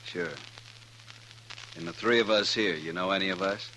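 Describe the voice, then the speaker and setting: Outlaw gang boss for radio western: very deep, hard-edged, terse and threatening, slightly hissy vintage sound. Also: male voice, normal speed, sharp tone, poor audio. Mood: cold, probing. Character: menacing outlaw gang leader, terse and cold. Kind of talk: old-time radio western acting. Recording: vintage radio recording with background hiss.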